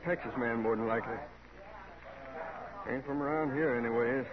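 A man's voice: medium pitch, moderate pace, natural voice. A man playing a plainspoken, loyal cowboy foreman in a radio western, with a laconic, folksy rural Western drawl. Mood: puzzled, plainspoken. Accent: rural Western American drawl. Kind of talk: 1950s radio western acting. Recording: muffled old radio, crowd behind.

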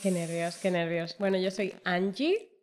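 A woman's voice: on the low side, measured pace, a little mellow, slight background noise. A woman from the Republic, co-hosting a casual podcast chat and talking with enthusiasm, often restarting her sentences. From Dominican Republic. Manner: enthusiastic, restarts and trails off with fillers. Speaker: podcast co-host.

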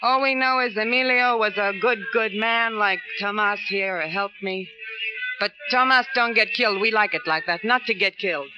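An actress playing a brassy, cynical fortune-teller with a tough, slangy, taunting manner in an old radio crime drama. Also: female voice, medium pitch, moderate pace, dull audio, over music, close to an everyday voice. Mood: sly, guarded. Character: brassy, cigar-smoking female fortune-teller and potion seller, cynical. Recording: noisy old radio broadcast recording.

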